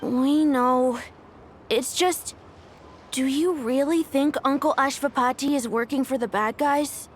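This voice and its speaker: A woman's voice: high voice, a little slow, a little hiss or hum. A woman voicing a worried, innocent child in a video game, hesitant and earnest. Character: worried, innocent child troubled about an uncle.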